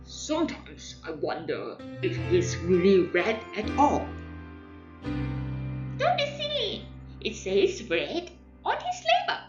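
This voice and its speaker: Woman as fussy, animated gossiping storybook crayon. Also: medium pitch, takes it slowly, reverberant, highs cut off, music in the background. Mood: critical, doubting. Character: gossiping crayons and art supplies, opinionated, fussy.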